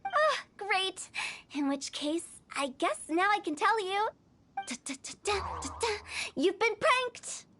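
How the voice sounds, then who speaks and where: A woman's voice: very high voice, very animated, takes it slowly, fairly bright tone, full character voice. A woman playing a playful, mischievous young funeral director in a video game, with theatrical, teasing showmanship. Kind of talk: video game character acting. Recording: music behind the voice.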